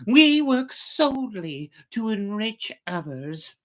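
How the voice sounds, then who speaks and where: A woman's voice: mid-range voice, big pitch swings, slow pace, voice pushed up. A woman voicing a sly male fox swindler with a pompous, falsely noble manner, as in a storybook reading. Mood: feigned indignation.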